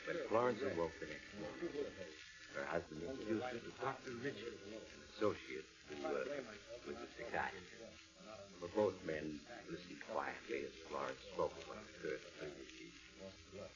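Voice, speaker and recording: An actor playing a solemn storyteller, narrating an eerie 1940s radio suspense tale in a measured, dramatic way. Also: male voice, sits low, animated. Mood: hushed, solemn. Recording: dull, lo-fi old radio recording. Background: music.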